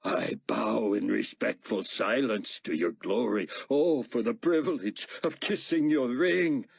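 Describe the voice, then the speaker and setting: A man acting out an obsequious male worshipper, fawning and slow, near the reader's own voice. It comes husky and breathy in a dry, close sound. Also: medium pitch, no room sound, pitched above the performer's own voice.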